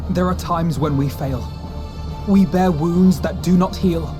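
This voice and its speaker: A man's voice: on the high side, measured pace, close to an everyday voice. A man voicing an earnest, steadfast young hero in a video game, solemn and emphatic. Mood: stirring resolve. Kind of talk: scripted video game cutscene acting. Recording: game music under the voice.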